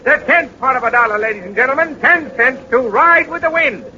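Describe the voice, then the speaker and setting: High-voiced carnival barker on noisy old radio. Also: male voice, lively intonation, brisk, sharp tone, exaggerated voice. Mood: hawking excitedly. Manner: showy, declamatory. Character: brash carnival barker at a roller coaster. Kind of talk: 1940s radio drama acting. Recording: muffled, noisy 1940s radio broadcast recording.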